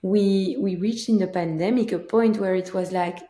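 Female founder guest, Belgian accent, chatty storyteller, medium pitch, echoey room. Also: fairly even intonation, normal speed, dark tone. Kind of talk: casual interview storytelling. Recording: roomy, echoing sound.

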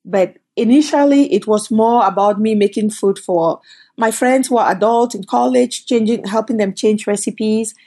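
A middle-aged woman from Cameroon, a company founder telling her story as a guest in an interview, lively and quick in bursts. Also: mid-range voice. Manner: animated, storytelling, quick bursts, laughs often. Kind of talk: conversational interview.

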